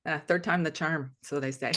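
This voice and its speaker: A woman hosting an interview over a video call, friendly and quick to laugh. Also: sits low, fairly even intonation, a bit bright. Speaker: host.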